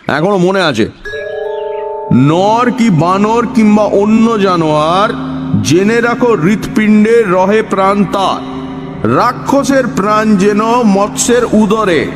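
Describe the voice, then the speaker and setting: A man playing an eccentric, bossy village know-it-all in an audio story, scolding and conspiratorial with dramatic emphasis. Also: high voice. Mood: scornful, declaiming. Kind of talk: Bengali audio story acting.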